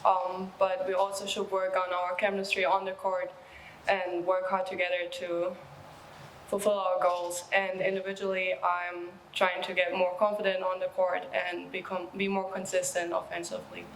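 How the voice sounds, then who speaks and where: Young woman athlete, low flat voice, composed, echo. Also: moderate pace, a little hiss or hum. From Germany. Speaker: college basketball player.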